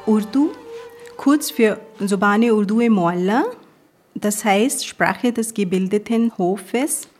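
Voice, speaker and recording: A woman from Pakistan's Punjab speaks, her being Punjabi. She reads a prepared talk at a careful, measured pace and lets small grammar slips through. Her pitch sits in the middle for a woman. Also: darkish tone, soft background music. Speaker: guest presenter.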